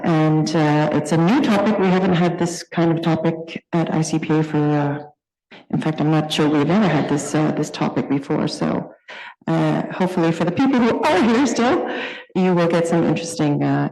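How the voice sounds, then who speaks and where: A woman's voice: low-pitched, vocal fry, darkish tone. A woman moderating a conference session, informally introducing a speaker in a large room. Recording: echoey, reverberant conference hall.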